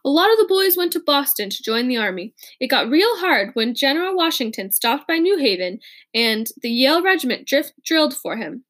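A woman voicing an eager, intense young male inventor in an audiobook, earnest and quick to rally. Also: high-pitched, big pitch swings, fairly bright tone. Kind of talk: audiobook character reading.

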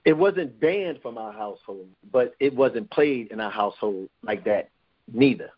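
A man's voice: mid-range voice, animated, normal speed. Rambling New man, muffled line. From New York. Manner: rambling with many restarts. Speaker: guest. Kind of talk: casual interview talk.

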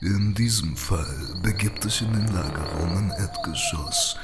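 A man voicing an old, brooding necromancer mage in an audiobook, speaking slowly, gravely and deliberately. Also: low-pitched, over loud music, voice pushed down. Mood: grave, measured. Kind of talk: audiobook character voice.

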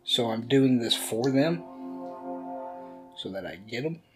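A man's voice: medium pitch, animated, takes it slowly, music in the background. A man playing a tabletop game podcast, laid-back and swearing freely. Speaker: player. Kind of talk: casual tabletop game play.

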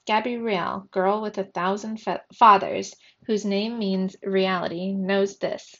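A woman voicing Death as a solemn, grandiose fiend in a storybook, speaking slowly and pompously in archaic phrases. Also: mid-range voice, steady tone, muffled recording.

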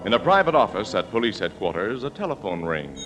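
A man's voice: fairly low pitch, big pitch swings, fairly quick, rough recording. A man announcing and narrating a 1940s radio show in a polished, formal scripted style. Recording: old-time radio recording. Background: loud music.

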